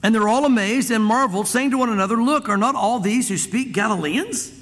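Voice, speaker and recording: A man's voice: extremely high pitch, fairly quick, a bit bright, long reverb tail. A man preaching a conversational sermon, full of asides and restarts, in a church. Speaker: pastor. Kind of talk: expository sermon.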